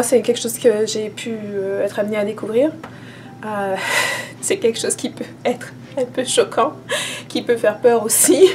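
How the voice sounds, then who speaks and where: A woman's voice: a little high, a little mellow. A woman vlogger talking casually to camera as a spiritual teacher, hesitant, with many fillers and restarts.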